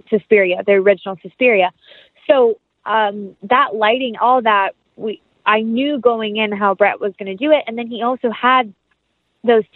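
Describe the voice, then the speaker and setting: A woman's voice: medium pitch, no echo. Chatty woman on a phone-like line. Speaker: actress, interview guest. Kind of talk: casual conversational interview.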